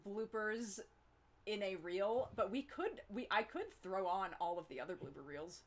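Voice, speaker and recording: A woman's voice: medium pitch, lively intonation, moderate pace, a loud crowd. A woman in a casual, unscripted group chat, rambling as she explains things and often saying "like". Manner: rambling, frequent "like". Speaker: show creator on a cast Q&A.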